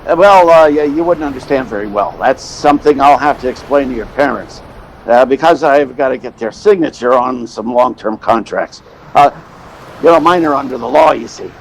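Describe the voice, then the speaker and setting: A man playing a fast-talking, scheming theatrical agent who narrates an old-time radio play in a chatty hustler's manner. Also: medium pitch, a little hiss or hum, natural voice, poor audio. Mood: slick, persuasive. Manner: slick hustler, chatty storyteller.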